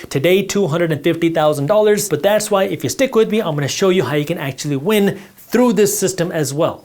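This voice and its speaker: A man's voice: sits high, darkish tone. A man whose first language is Punjabi, teaching personal finance in a direct, emphatic way while drawing on a board and speaking straight to the viewer.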